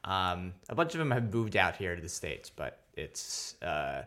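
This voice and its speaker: A man's voice: deep voice, sing-song, a little slow, sharp tone. A man from New York City, a comedian guest talking in an easygoing, loose way, peppered with "like" and "um". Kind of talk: casual interview chat.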